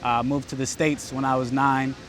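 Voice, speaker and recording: A man from Ethiopia, a guest in a casual interview, speaking calmly and thoughtfully at a steady pace. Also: medium pitch, moderate pace, a little hiss or hum, poor audio. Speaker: guest, football fan.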